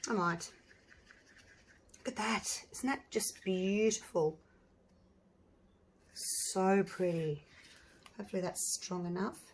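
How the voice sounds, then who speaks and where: A slightly hoarse woman hosting a casual crafting tutorial, chatting and thinking aloud with lots of ums and restarts. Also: mid-range voice, slow, long pauses, a little hiss or hum, rough recording. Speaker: resin artist and channel host.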